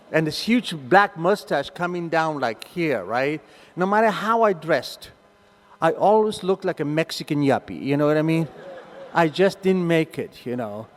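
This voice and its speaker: Older argentine guy, spanish native, telling stories at a meeting, super animated, voice bouncing all over, echoey hall. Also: a little high. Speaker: recovery meeting speaker. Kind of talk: storytelling talk to a meeting.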